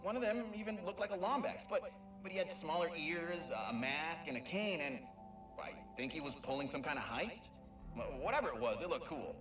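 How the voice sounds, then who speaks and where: An actor playing an excitable young male Lombax mapmaker who stammers and rambles, in a video game audio log. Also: a little high, brisk, lightly acted. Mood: excited. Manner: stammering, talkative, self-amused. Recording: echoey and distant, music behind.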